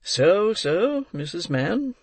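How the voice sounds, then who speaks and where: A pompous, self-important parish beadle, as a male audiobook reader plays him, laying down stern pronouncements slowly and with weight. His voice rises a little above the reader's own. Close, dry sound. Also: high-pitched, sing-song, dry recording, voice pushed up, exaggerated voice. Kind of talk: audiobook character reading.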